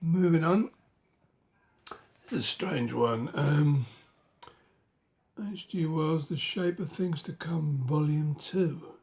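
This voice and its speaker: Hoarse slow-talking man with a cold. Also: a little high, a little mellow. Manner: halting, many ums and uhs, drops to a whisper. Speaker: book collector vlogger. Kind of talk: casual show-and-tell of books.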